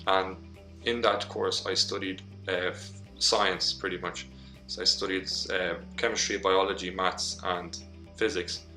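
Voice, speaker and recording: Young man, from Poland, deep bright voice, flat delivery, roomy sound, faint music. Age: young adult. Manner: steady, with small restarts. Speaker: engineering student. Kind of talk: personal account to school students. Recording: echoey room, faint music.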